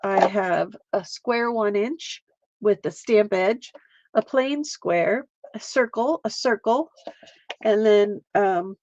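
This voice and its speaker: A woman's voice: medium pitch, slow, darkish tone, no echo, muffled recording. A woman hosting a casual live art stream, chatting and laughing with viewers as she works, with a slight Canadian accent. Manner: chatty, giggly, talks to viewers while crafting.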